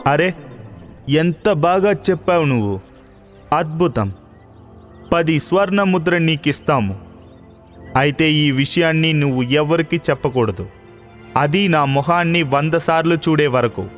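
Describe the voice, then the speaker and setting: A man's voice: on the high side, unhurried, pauses often, close to an everyday voice. An actor playing a proud, commanding king in a children's cartoon fairy tale, speaking with authority. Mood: curious, pleased. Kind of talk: children's cartoon story dialogue. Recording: long hall reverb, background music.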